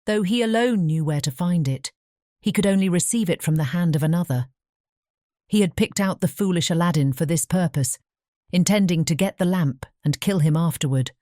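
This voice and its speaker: A woman narrating a bedtime fairy tale as a measured, careful scripted storybook reading. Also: on the low side, dark tone, no echo, studio quality.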